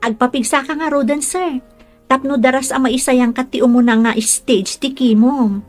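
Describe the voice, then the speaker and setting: An actress plays a young caregiver in a radio drama. She is caring and respectful, with a fairly high voice. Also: fairly even intonation, normal speed, darkish tone, soft background music. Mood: earnest, encouraging. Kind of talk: Ilocano radio drama acting.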